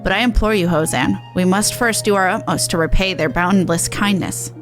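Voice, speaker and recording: A gentle female refugee in a fantasy game , read aloud by a woman. She is grateful, polite and earnest, with formal wording. Music plays clearly behind her. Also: fairly low pitch, normal speed, natural voice. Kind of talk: reading game dialogue aloud.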